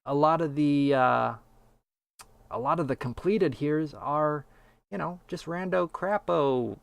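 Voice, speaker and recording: A man's voice: a little high, slow, gravelly, clear, resonant tone, no echo. A man hosting a casual podcast chat, wry and meandering, with a lot of fillers. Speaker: podcast host.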